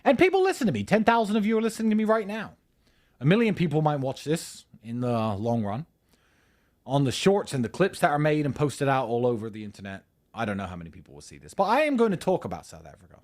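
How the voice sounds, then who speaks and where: Angry, profane man with a lively voice. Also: on the high side, fast. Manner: swings between shouting and muttering. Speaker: livestream host. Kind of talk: ranting monologue to a live audience.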